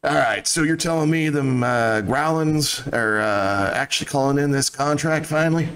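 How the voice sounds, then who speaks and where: A man's voice: on the high side, normal speed, fairly bright tone, slight background noise, pitched above the performer's own voice, close to an everyday voice, low-quality recording. A man voicing an older mercenary commander who talks in a blunt, folksy way. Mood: gruff.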